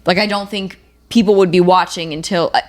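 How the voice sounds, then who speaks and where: A woman's voice: fairly low pitch, steady tone, fairly quick. A woman co-hosting a podcast in casual, chatty, informal banter. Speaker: podcast co-host.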